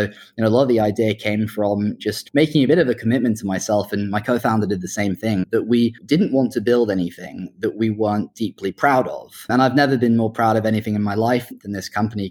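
Low-pitched man in his twenties. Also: darkish tone. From Hong Kong and UK. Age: 20s. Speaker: startup founder being interviewed. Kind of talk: conversational interview answers.

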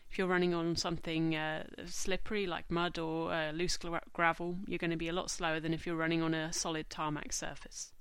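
Woman hosting a podcast, a bit stuffed up from a cold, lowish voice, dry close mic, calm and explainy. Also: clear, resonant tone, no room sound. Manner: measured, informative, reads lists slowly. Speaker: podcast host. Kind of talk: scripted podcast monologue.

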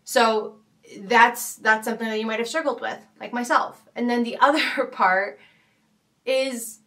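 A woman hosting a podcast, speaking in a thoughtful, casual way with frequent pauses, fillers and restarts. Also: fairly high pitch, steady tone, far from the mic. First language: not Arabic. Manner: thoughtful, many fillers and restarts, gentle laughs. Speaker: podcast host. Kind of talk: casual reflective talk.

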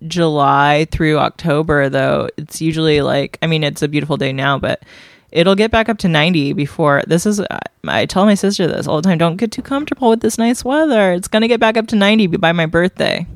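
A woman's voice: low-pitched, no echo, studio quality. A woman in her forties co-hosting a podcast, upbeat and playful in casual chat. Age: 40s. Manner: upbeat, playful, quick to laugh along. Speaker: podcast co-host.

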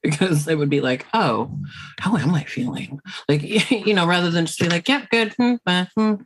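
A woman's voice: on the low side, moderate pace. A woman chatting casually as a team member in a group discussion, informal and self-deprecating. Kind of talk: casual chat.

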